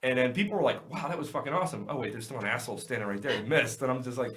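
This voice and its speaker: A man telling magic show stories in casual podcast chat, lively and profane. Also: medium pitch, very fast, slight background noise. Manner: animated storyteller, swears often. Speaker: magician guest.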